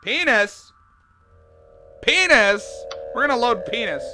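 A young man in his twenties with a hoarse, sore throat, casually commentating a game from a small bedroom, swearing often and reacting loudly. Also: extremely high pitch, big pitch swings, slow, over music. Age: 20s. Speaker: gaming YouTuber. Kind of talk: casual let's play commentary. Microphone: desk mic, webcam in corner.

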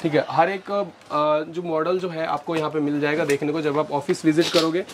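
A young man showing products in a warehouse, explaining things slowly with many fillers on a lapel mic. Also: on the high side, little pitch movement, slight background noise. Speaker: warehouse business owner. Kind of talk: product walkthrough. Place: large indoor warehouse with shelves.

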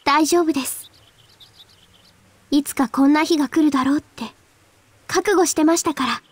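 An actress voicing a gentle, earnest and quietly resolute teenage girl in a Japanese video game, hesitant in manner. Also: high-pitched, moderate pace, dry recording, lightly acted. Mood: subdued, reflective.

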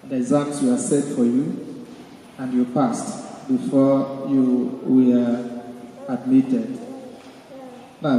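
A man's voice: medium pitch, warm tone. A middle-aged priest, a man addressing a congregation during a rite in a playfully formal, quizzing manner. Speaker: priest leading a baptism. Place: large stone church, indoors. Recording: very echoey church hall, distant mic, noise.

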